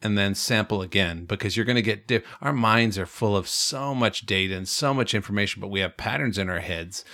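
A man co-hosting a podcast, talking in a thoughtful, reflective way with frequent pauses and restarts. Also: on the low side, sharp tone, no echo, clean studio sound. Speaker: podcast co-host. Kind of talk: casual reflective chat.

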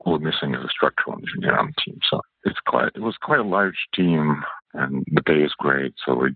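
A Russian-born architect raised in Canada, recounting events in a halting way with many fillers, his voice husky. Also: extremely low pitch, expressive, no echo. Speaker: architect guest. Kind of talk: storytelling interview answer. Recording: phone-like narrow audio.

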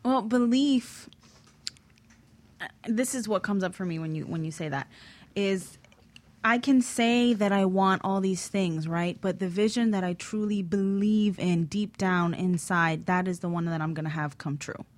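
A woman's voice: mid-range voice, unhurried. A woman co-hosting a motivational talk show, speaking earnestly and laughing easily. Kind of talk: casual talk show discussion.

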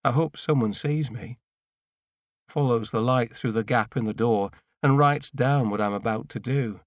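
Male audiobook narrator, mid-range pitch, measured first-person storytelling, deliberate short phrases, dry studio-like sound. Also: no echo.

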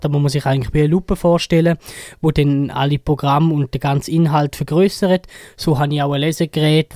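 A man's voice: on the high side, a little mellow, no echo, clean studio sound. A twenty-year-old man with an eastern Swiss German accent, talking casually as an interview guest. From Herisau, eastern Switzerland. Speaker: interview guest, para ski racer.

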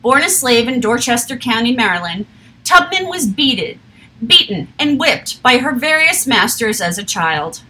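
A woman reading a history text aloud in a steady, measured way, with an occasional slip. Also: on the high side, rough recording.

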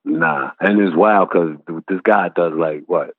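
A man with a deep voice and a Brooklyn accent, chatting casually in slangy, repetitive bursts as an interview guest. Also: a little slow, dry recording. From Brooklyn, New York. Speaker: guest, rapper. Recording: tinny, phone-like line.

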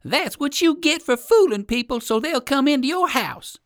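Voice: animated, moderate pace, no room sound, studio quality. A storyteller voicing a brave, cheeky young squirrel boy who calls out in a children's storybook. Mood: cheeky, triumphant. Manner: high, loud calling out.

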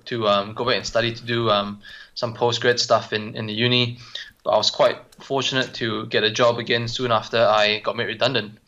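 A man answering interview questions over a phone line, halting with frequent ums and restarts. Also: mid-range voice, sharp tone. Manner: hesitant, many ums and restarts. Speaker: guest physiotherapist.

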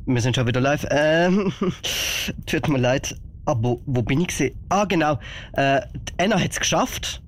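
A man's voice: on the high side, animated, moderate pace, close to an everyday voice. A man playing a kindly, flustered old Santa Claus with a Swiss German accent in an amateur children's radio play.

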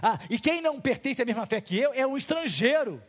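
A man's voice: very high-pitched, big pitch swings, brisk, in a large hall. A male pastor with a Brazilian Portuguese accent preaching a sermon in a church, full of rhetorical questions and acted-out little dialogues.

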